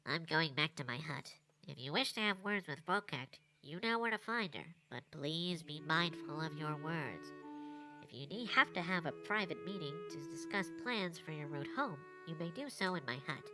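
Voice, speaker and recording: A woman's voice: low voice, lively intonation, normal speed, over music, poor audio. A woman voicing a small male goblin watchman in an audio roleplay, proud, fretful and given to formal proclamations. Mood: earnest, formal.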